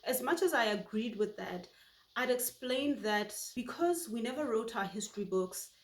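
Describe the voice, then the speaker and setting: Heartfelt woman from Botswana, echoey room. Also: mid-range voice. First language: Setswana. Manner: warm, heartfelt storytelling. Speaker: YouTube host. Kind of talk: personal reflective monologue.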